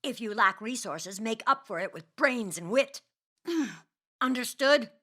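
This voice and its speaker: A woman's voice: a little high, lively intonation, takes it slowly, pushed and bright, heavily acted. A woman playing a poised, suggestive, authoritative student council leader in an anime dub. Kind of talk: English anime dub acting.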